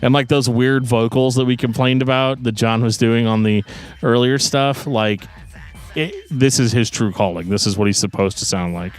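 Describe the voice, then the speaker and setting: A man's voice: medium pitch, steady tone, moderate pace. A man co-hosting a podcast, casually discussing music in a sarcastic, joking way. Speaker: podcast co-host.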